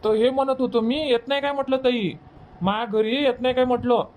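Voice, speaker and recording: A man acts out an eager village man from a cartoon comedy. His voice sits very high for a man, and he talks in colloquial Varhadi Marathi, teasing and pressing his point. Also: steady tone, fast talker, darkish tone. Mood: coaxing.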